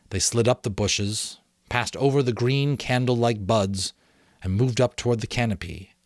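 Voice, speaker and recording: A middle-aged man with a fairly low voice narrates a novel as an amateur audiobook reader. His delivery is dramatic and expressive, with measured phrasing. The sound is close and dry, with very little room in it. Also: no room sound.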